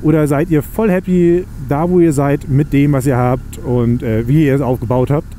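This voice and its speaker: Young guy rambling on his motorbike, mellow voice, a bit high, faint engine hum. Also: steady tone, normal speed. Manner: rambling, chatty and self-mocking. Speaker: motovlogger. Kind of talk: casual chat while riding. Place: on a motorcycle in city streets. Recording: faint engine and road noise.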